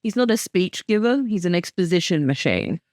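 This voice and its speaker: Lively woman chatting in commentary. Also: lowish voice, normal speed, no room sound, studio quality. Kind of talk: casual chat commentary.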